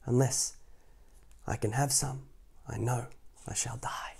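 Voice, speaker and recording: A man's voice: very high voice, big pitch swings, normal speed, darkish tone, breathy edge, pitched above the performer's own voice, heavily acted. A man voicing a desperate young wife from a fairy tale, pleading breathily.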